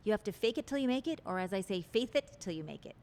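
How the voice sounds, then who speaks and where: A woman's voice: mid-range voice, lively intonation, fast, darkish tone, slight background noise. A woman guest in an interview, talking about relationships in an articulate, expansive and earnest way. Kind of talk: interview conversation.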